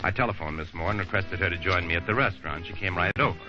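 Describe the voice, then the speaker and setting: Man acting low-voiced scheming lawyer, old radio noir. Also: racing, lightly acted. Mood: smug, calculating. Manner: wordy, theatrical, formal diction. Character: scheming, self-satisfied male lawyer narrating his own crime. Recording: muffled old radio recording, music behind.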